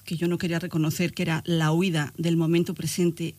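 A woman's voice: lowish voice, flat delivery, fairly quick, dark tone, no echo. An older woman from Spain, reminiscing as an interview guest in a reflective way, often searching for words. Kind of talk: conversational interview, personal reminiscing.

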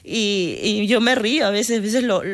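A middle-aged woman from Peru with a Peruvian Spanish accent, a radio guest talking casually, hesitant and often restarting, quick to laugh. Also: medium pitch. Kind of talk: casual interview talk.

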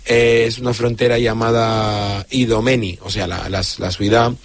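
A young man from Syria, a radio interview guest telling his own story in an earnest, halting way with many fillers and restarts. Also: lowish voice, steady tone, slight background noise.

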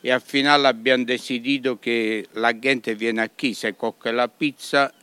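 A man with an Italian accent, a restaurant owner speaking Spanish in a radio interview, halting and slipping into Italian words. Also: medium pitch, steady tone, bright, forceful tone, clean tone, no room sound. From Italy.

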